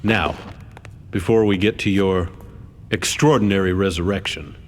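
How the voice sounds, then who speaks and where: A man's voice: low-pitched, fairly even intonation, moderate pace, natural voice. A man playing a formal, probing senior official who questions a witness with stiff politeness in a video game cutscene. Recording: reverberant hall, faint background noise.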